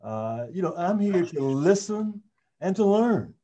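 Male councilmember, warm mellow tone, polite and halting, muffled audio. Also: high-pitched, very animated. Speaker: city councilmember. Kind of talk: brief remarks at an online public meeting.

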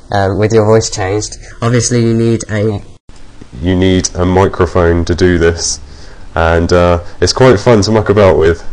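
Man's voice through a pitch-shifting voice changer. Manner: rambling, with many ums and restarts. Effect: software voice changer shifting pitch. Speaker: software reviewer. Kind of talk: casual screen-recording tutorial.

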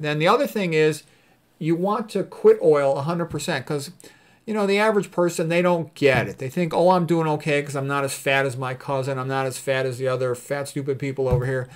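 A middle-aged man, a physician, gives an informal lecture in a blunt, emphatic, repetitive way, often checking in with a short tag question. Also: a little high. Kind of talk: informal lecture with commentary on quotes.

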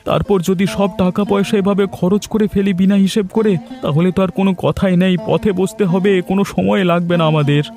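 A man's voice: sits high, steady tone, brisk, warm tone. A man voicing an earnest village farmer and husband in a cartoon, with music behind him. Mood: worried. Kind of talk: cartoon dialogue acting. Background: background music.

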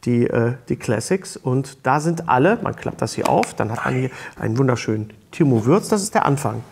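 A man's voice: mid-range voice, expressive, moderate pace, vocal fry, darkish tone, a little hiss or hum. A middle-aged man hosting a talk show, presenting in a casual, jokey, enthusiastic way. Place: small studio set, seated at a table. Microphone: lapel mic.

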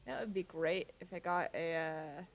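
A woman's voice: on the low side, moderate pace. A young American woman with a cold, casually commentating as she plays a card game at her computer, often muttering to herself. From United States. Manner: laid-back, muttering to herself while playing. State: stuffy with a cold, sniffly. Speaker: gaming channel host. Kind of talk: casual let's-play commentary. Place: home room, seated at computer.

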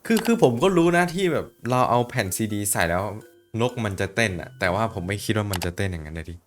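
A man hosting a reaction video, talking casually with excitable outbursts and repeated words. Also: fairly low pitch, fast talker, soft background music.

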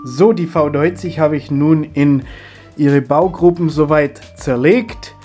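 A middle-aged man, stuffy and husky from a cold, chatting his way step by step through a hands-on hobby tutorial. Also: fairly high pitch, steady tone, takes it slowly, darkish tone, faint music behind. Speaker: model railway hobby video host.

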